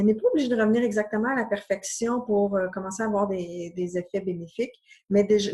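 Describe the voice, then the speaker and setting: Québécoise health coach, 40s, mid-pitched and slightly husky, earnest and hesitant delivery. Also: female voice. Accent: Québécois French. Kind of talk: casual explanatory talk to camera.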